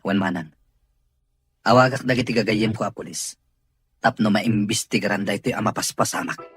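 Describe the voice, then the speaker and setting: A man's voice: fairly low pitch, fairly even intonation, normal speed, a bit bright, breathy edge, no echo. A man with a Filipino accent playing a firm, protective, authoritative boss of a mansion in an audio drama. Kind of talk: Ilocano audio drama acting.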